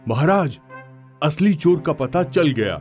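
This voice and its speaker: A man's voice: fairly high pitch, animated, takes it slowly, music in the background. A man voicing a clever, composed royal adviser who explains respectfully in a cartoon story. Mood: calm, assured.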